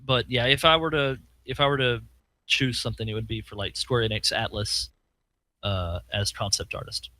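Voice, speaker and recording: Bright-voiced guy telling a rambling story. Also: mid-range voice, steady tone, normal speed. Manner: rambling storytelling with fillers and acted quotes. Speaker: podcast co-host. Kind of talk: casual chat.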